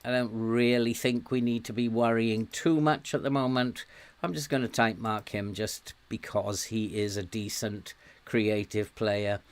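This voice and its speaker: A man live-streaming a management game, thinking aloud with frequent ums and soft chuckles while greeting viewers; he has a sore throat and is losing his voice. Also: lowish voice, fairly even intonation, measured pace, clear, resonant tone.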